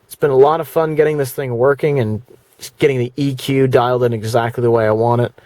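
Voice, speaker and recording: A man's voice: medium pitch, steady tone, a little fast, mellow, no echo. Casual man in a dry, close space. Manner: plainspoken, rambling, conversational. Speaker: video presenter. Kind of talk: casual product review vlog.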